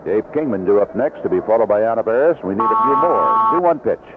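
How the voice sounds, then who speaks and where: A man's voice: lowish voice, expressive, fairly quick. An American man calling baseball play-by-play on 1970s radio in clipped, short fragments. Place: outdoor ballpark broadcast booth. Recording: dull, noisy vintage AM radio broadcast.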